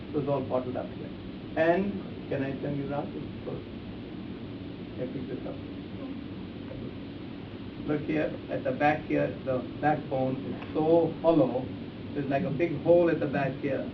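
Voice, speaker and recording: A man giving a live demonstration as an instructor, speaking emphatically and repeating phrases as he addresses volunteers directly. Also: on the high side. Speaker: face reading instructor. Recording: echoey distant room, muffled, hissy.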